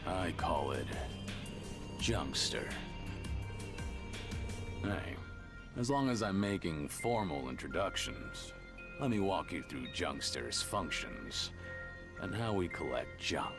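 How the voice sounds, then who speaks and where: A gruff middle-aged rabbit from a video game, low and slow. He is a solitary, folksy junk digger who muses fondly over his finds, with music playing behind him. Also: lively intonation, exaggerated voice. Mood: calm, explaining. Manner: folksy, unhurried, musing. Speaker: game protagonist and narrator. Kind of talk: scripted video game monologue. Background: background music.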